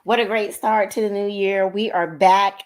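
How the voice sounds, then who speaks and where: A woman co-hosting a talk show in easy, animated chat. Her pitch sits at an ordinary middle height, and she tells stories with plenty of fillers. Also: normal speed. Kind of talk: casual chat.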